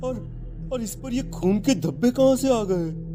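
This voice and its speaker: A bewildered young man voiced by a male actor in a horror cartoon, anxious and halting, repeating himself. His voice is quite high for a man, with prominent music behind it. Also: lively intonation, exaggerated voice. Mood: alarmed. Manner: halting, repeats words emphatically. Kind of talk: animated story voice acting. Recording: loud music under the voice.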